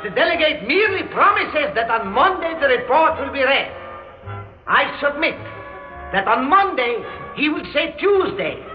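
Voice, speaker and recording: A man's voice: very high voice, very animated, moderate pace. A man acting an indignant, accusing foreign delegate declaiming a speech in an old radio drama. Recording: echoing hall, muffled radio, faint music.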